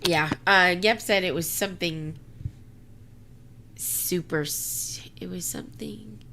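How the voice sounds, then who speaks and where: A woman hosts a casual livestream in an unhurried, slangy way. She comes across as giggly and informal. A faint noise sits behind her voice. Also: on the low side, creaky, bright, forceful tone. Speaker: live panel show host.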